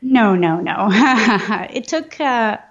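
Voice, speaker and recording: A woman from Venezuela, a designer speaking in a soft, hesitant way with many ums. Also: medium pitch, lively intonation, drawn-out words, muffled recording. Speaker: graphic designer, guest. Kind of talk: casual interview.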